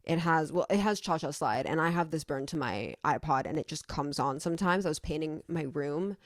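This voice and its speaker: A woman's voice: deep voice, lively intonation, fairly quick, no room sound, clean studio sound. A young adult woman vlogging in a casual, rambling way, opinionated and emphatic, with some swearing.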